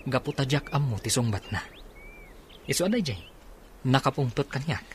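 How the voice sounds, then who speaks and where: A polite but evasive friend played by a man talks with a Filipino accent. His voice sits at a middle pitch, acted for radio drama. The recording carries background noise. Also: expressive, normal speed, close to an everyday voice. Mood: explaining. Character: guarded, loyal male friend, politely evasive employee.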